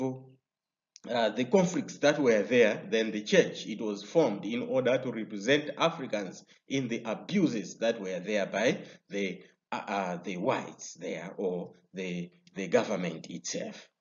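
A man with a Malawian English accent teaching a recorded history lesson over slides. He explains step by step and often pauses on "uh". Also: lowish voice. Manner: explanatory, many "uh" fillers and restarts. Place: indoor room. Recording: slightly dull lo-fi sound.

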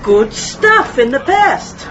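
An actress playing an elderly, chatty mother in a radio drama, rambling and gently scolding as she reminisces. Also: high-pitched, sing-song, slow, music in the background, exaggerated voice. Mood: wistful, teasing. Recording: dull, noisy radio play recording.

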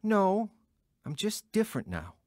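An actor in an audio drama playing an earnest, emotional, wavering ex-convict who has newly found religion. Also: male voice, high-pitched, huge pitch swings, unhurried, darkish tone, dry recording, studio quality, heavily acted. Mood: excited, insistent. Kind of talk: audio drama acting and first-person narration.

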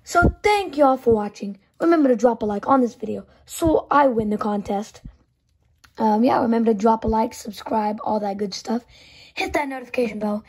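Woman, hoarse, husky, fairly high voice, chatty, filler words, phone recording in a small room. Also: moderate pace, darkish tone. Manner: loose and chatty, lots of "um" and "so yeah". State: hoarse from yelling. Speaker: toy collector channel host. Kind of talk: casual video countdown.

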